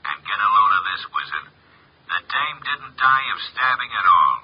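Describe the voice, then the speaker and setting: An actor playing a brash, slangy police inspector heard over a telephone in a 1950s radio drama. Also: male voice, on the low side, big pitch swings, normal speed. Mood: brash, excited. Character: gruff, brash male police inspector, incredulous. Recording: noisy, muffled old radio phone effect.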